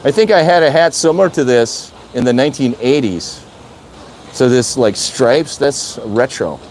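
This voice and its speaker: A man's voice: mid-range voice, animated, normal speed, darkish tone, a little hiss or hum, rough recording. An older man from Wisconsin chatting casually on a live stream, rambling and repeating himself as he answers viewer comments. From Wisconsin, USA. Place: outdoor city balcony.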